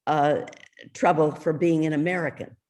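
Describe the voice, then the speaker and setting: An audience member on a video call asking a question haltingly, with many pauses. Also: mid-range voice, fairly even intonation, a little mellow.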